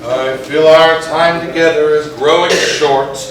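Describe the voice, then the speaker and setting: A man's voice: a little high, steady tone, measured pace, close to an everyday voice. A man playing a droll, macabre host, introducing gruesome tales in a theatrical, scripted stage manner. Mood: wry gloom. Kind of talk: scripted comic hosting of live readers' theatre. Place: echoey indoor theatre, live stage. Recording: very echoey hall, distant mic, clear noise.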